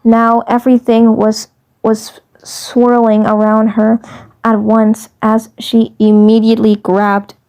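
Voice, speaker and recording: A woman narrates a fan-made story in a slow, mellow, slightly high voice. She reads haltingly, often doubling back to repeat words and phrases. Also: completely flat delivery, clear, resonant tone. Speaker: amateur fan dub narrator. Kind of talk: story narration read aloud.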